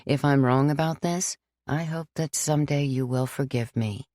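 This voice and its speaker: A woman voicing Father John, an older priest who speaks slowly and gravely to a young woman in his care. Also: on the high side, a little mellow, no echo, studio quality, lightly acted. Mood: gentle, sorrowful.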